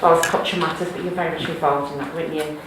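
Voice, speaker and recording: A woman's voice: low voice, steady tone, racing, noisy background. A woman from Wales with a Cardiff accent, reading poems aloud at a live event with chatty asides in between. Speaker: poetry reader. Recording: echoey room.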